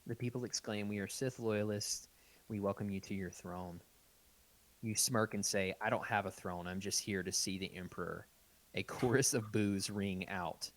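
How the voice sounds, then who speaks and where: A man's voice: lowish voice, steady tone, moderate pace, low-quality recording. A man reading text adventure game narration aloud and chatting casually as a podcast host.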